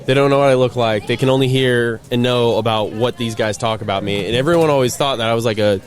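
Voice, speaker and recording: A man's voice: mid-range voice, fast. A man in his twenties hosting a podcast outdoors, talking casually with many fillers and easy laughs. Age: 20s. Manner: hesitant, filler-heavy, laughs easily. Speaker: podcast host. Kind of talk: casual chat. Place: outdoors by a racetrack.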